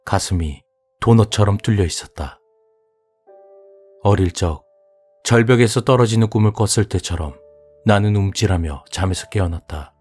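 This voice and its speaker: A man reading a horror audiobook as its narrator, telling the story in a measured, even way. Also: on the low side, slightly rough. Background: soft music.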